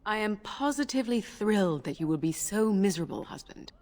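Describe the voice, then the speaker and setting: A woman's voice: mid-range voice, animated, a little fast, a little mellow, close to an everyday voice. A woman playing a dry-witted, scolding young noble wife and mother in a video game.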